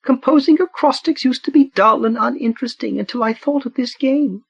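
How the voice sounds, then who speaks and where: A man voicing a genteel, elderly woman murderer in a high, sugary falsetto with slow, lilting delivery. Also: vocal fry, breathy edge, no room sound, highs cut off, full character voice. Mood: sweetly menacing. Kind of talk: audiobook narration.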